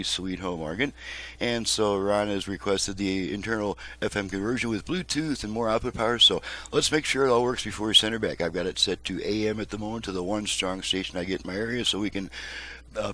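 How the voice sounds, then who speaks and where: A man's voice: lowish voice, fairly bright tone. An American man narrating a casual demonstration to viewers as the host and repair technician.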